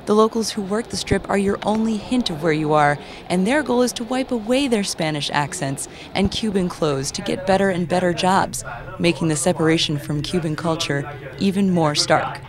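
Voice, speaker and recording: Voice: mid-range voice, moderate pace, a crowd in the background. A documentary narrator reading a scripted travelogue.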